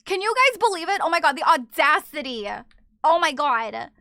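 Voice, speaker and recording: A woman streamer chatting casually with her viewers in an animated, teasing way. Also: sits high, moderate pace, no room sound, clean studio sound. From not from Japan. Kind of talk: casual live-stream chat.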